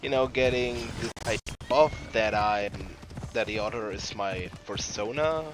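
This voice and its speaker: A man's voice: fairly low pitch, unhurried, noisy background. A man who is not a native English speaker, giving casual, rambling let's play commentary, often interrupting himself. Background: video game music and sounds.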